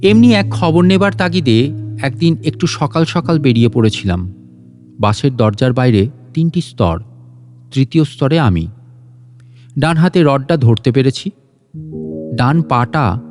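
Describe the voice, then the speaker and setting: A man's voice: mid-range voice, darkish tone. A man reads a literary story as a scripted audio narration. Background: guitar and instrumental music.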